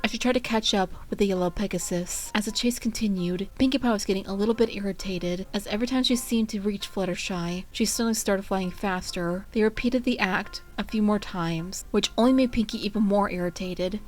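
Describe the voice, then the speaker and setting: A woman's voice: mid-range voice, fairly quick, few pauses, a little mellow. A woman reading fan fiction aloud in a storytelling narration style.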